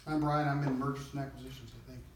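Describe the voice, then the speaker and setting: A man chatting casually and a little haltingly as a staff member in a group activity. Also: medium pitch, level tone. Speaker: staff member in a team game. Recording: very echoey room, distant, noisy.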